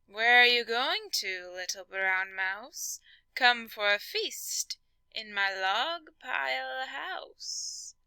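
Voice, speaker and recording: Woman doing a high, sly, drawling storybook snake. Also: expressive, drawn-out words, bright, forceful tone, clear, resonant tone, no room sound, exaggerated voice. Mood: coaxing. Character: sly male snake, hungry and easily frightened.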